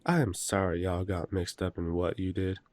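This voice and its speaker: Guy playing big brother werewolf in an audio rp, real low voice, polite but bossy, close dry sound. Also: little pitch movement, normal speed, dry recording, natural voice. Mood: gentle, reassuring. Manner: gently authoritative, polite, scolding his brothers. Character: protective, courteous eldest brother werewolf, man of the house. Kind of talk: roleplay audio drama acting.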